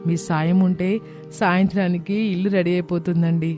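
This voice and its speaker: A man's voice: high voice, little pitch movement, a little fast, a little mellow, natural voice. A man voicing a brave young daughter-in-law and mother in a cartoon moral story, determined and reassuring. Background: background music.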